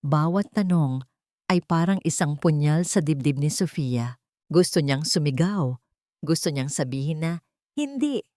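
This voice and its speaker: A woman's voice: low voice, a little slow, warm tone, no room sound, clean studio sound. A woman narrator reading a scripted romantic drama in a dramatic storytelling style.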